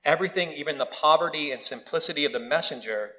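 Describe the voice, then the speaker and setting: A man's voice: fairly high pitch, fast, poor audio. A man preaching a sermon in a church, telling stories with touches of humor. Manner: storytelling with humor, animated quoted voices.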